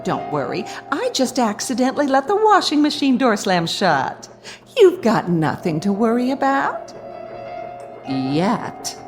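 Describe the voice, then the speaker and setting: An actress playing a domineering, bigoted, nagging wife in a radio drama, sarcastic, commanding and full of contempt. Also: female voice, on the high side.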